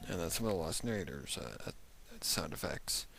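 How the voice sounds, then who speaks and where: A reaction show host giving casual commentary in a halting, exclamatory way, with muttered asides. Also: low-pitched, big pitch swings, creaky. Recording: faint music behind the voice.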